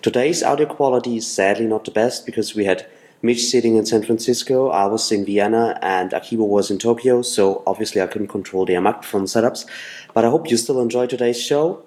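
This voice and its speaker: A man hosting a podcast, reading a steady scripted introduction. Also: lowish voice, a little fast. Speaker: podcast host. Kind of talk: scripted intro then interview.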